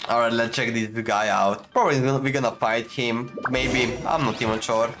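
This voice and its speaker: A man's voice: mid-range voice, fairly even intonation, moderate pace. A young man doing casual, slangy gameplay commentary, reacting informally as he plays. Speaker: gaming streamer. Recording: voice over game music. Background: game music.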